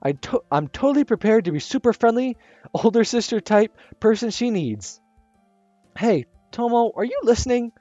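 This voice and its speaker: A man voicing an excitable, dramatic teenage girl from a visual novel, whining and gushing. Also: very high-pitched, moderate pace, no room sound, voice pushed up. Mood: whiny gushing. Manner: loud, whiny, gushing. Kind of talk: reading a visual novel aloud. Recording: slightly muffled with soft music.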